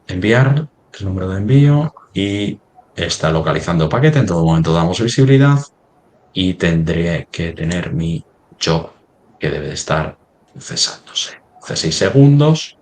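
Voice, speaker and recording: A man with an extremely deep voice presents a live webinar demonstration. He talks haltingly, thinking aloud as he works through each step on screen. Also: expressive, darkish tone. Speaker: software demo presenter.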